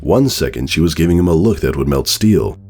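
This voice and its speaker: A man's voice: very deep voice, lively intonation, brisk, darkish tone. A man narrating a story as a dramatic, scripted reading. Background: background music.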